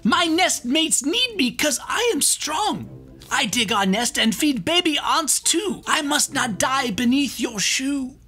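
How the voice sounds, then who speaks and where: A man's voice: very high voice, sing-song, takes it slowly, bright, forceful tone, voice pushed up, exaggerated voice. A man voicing a tiny, earnest, plucky ant in a children's storybook read-aloud, beseeching in an exaggerated way. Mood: earnest pleading.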